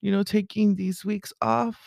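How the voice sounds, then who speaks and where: Female podcast host, raspy and creaky, earnest, halting delivery for a personal faith monologue. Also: medium pitch, flat delivery, a little mellow, no echo, studio quality. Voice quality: raspy early-morning voice. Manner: halting, earnest, with throat clearing.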